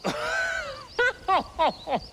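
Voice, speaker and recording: An actor playing a bitter, menacing outlaw in a Western video game, resentful and taunting. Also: male voice, extremely high pitch, wildly swooping pitch, drawn-out words, gravelly, background noise, exaggerated voice. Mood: bitter, scornful. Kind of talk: video game cutscene dialogue.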